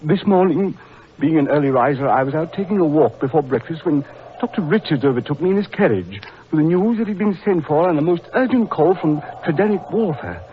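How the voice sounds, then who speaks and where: A horror-struck gentleman played by a man in a 1940s radio melodrama. He speaks haltingly in a fairly high, shaken voice, on a muffled old recording with faint hiss. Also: expressive, close to an everyday voice. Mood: horrified. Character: distraught, horror-struck Cornish village gentleman.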